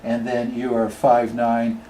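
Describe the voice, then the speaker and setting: Man haltingly demonstrating equipment. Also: medium pitch, completely flat delivery, mellow, rough recording. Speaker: radio club operator demonstrating equipment.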